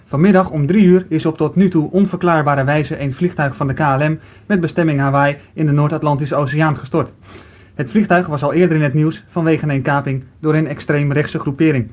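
A man's voice: on the high side, steady tone, fairly quick, a little mellow, lo-fi sound, natural voice. A man playing a formal newsreader giving a radio bulletin in an amateur radio play. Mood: grave, formal. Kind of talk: news bulletin reading.

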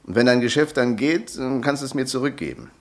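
An actor playing a gruff, wealthy friend who is blunt but generous, speaking briskly. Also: male voice, medium pitch, close to an everyday voice.

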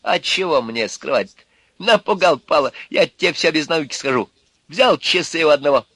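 A man reading aloud, voicing a coarse petty thief who bullies in rough slang. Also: on the high side, lively intonation, moderate pace, no echo, pitched above the performer's own voice, exaggerated voice. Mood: defiant. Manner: rough slang, bullying, shouting. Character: loud coarse male petty crook.

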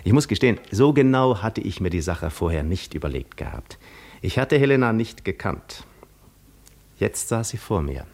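Cunning Greek war veteran, low voice, measured, reflective, self-assured, articulate, 1950s radio play monologue. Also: male voice, very animated, a little mellow. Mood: musing, wry. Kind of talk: 1950s radio drama monologue, literary first-person narration.

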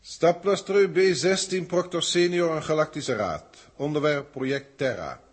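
An actor playing a detached alien senior proctor who reports on human test subjects in a formal, measured way, in an early 1960s radio drama. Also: male voice, on the high side, slightly rough. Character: cold alien overseer testing humans, middle-aged man.

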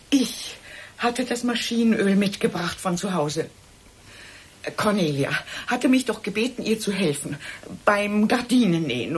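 An actress playing a desperate, cornered woman in a German radio drama, pleading in halting, agitated standard German. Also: mid-range voice, slightly rough. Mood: distraught, halting. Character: desperate, cornered woman defending herself against murder suspicion.

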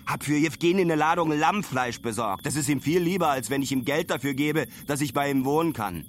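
A man's voice: on the high side, a little fast, a little hiss or hum, natural voice. An actor playing a reflective male traveller, telling his story colloquially and musingly in a radio play monologue.

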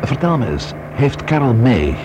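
An actor playing a composed, probing police inspector in a radio drama, measured and polite. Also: male voice, medium pitch, very animated, natural voice. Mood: probing, calm. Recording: noisy old radio play recording.

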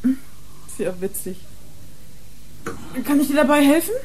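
A woman's voice: high voice, expressive, takes it slowly, darkish tone, slight background noise, poor audio. An actress playing a streetwise nineteen-year-old club girl turned informant in a radio crime drama, slangy and blunt. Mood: casual.

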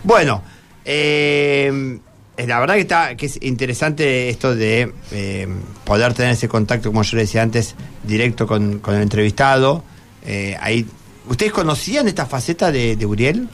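A man's voice: mid-range voice, expressive, normal speed, fairly bright tone. An Argentine man hosting a casual radio interview, conversational, often rephrasing and checking in with his guests. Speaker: radio host interviewing students. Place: radio studio.

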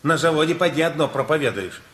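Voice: mid-range voice, animated, quick pace, a bit bright, natural voice. A performer playing a reproachful, grumbling member of a household with a needling, colloquial manner in a radio play. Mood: mocking. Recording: roomy echoing studio sound.

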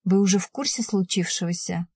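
A female narrator plays a calm woman on the telephone in an audiobook. She speaks with composure and some hesitation, as one breaking bad news, in a dry recording. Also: lowish voice, fairly quick, husky, no room sound. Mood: calm, hesitant.

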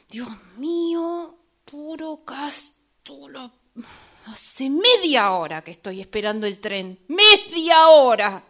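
A woman plays a restless, exasperated passenger in a radio monologue. She speaks slowly, in a bright voice pitched a little high. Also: exaggerated voice. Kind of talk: amateur radio theatre monologue.

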